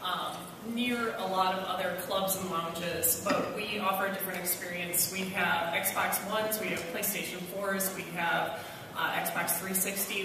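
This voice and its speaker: A woman from Wisconsin speaking on a convention panel, brisk and upbeat. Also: mid-range voice, noisy background. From Wisconsin, USA. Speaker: panelist, bar general manager. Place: large convention hall. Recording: echoey hall mic from far off.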